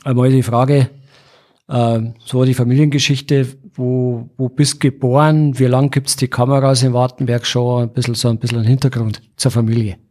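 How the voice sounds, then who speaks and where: A man with a Bavarian accent hosting a podcast interview in an informal, somewhat halting conversational way. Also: medium pitch, little pitch movement, a little mellow.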